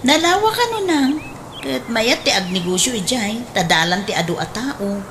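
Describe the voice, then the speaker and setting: Eager, exclamatory Ilocano grown daughter. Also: female voice, on the high side, big pitch swings, normal speed. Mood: excited. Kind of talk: radio drama acting. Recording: faint background noise.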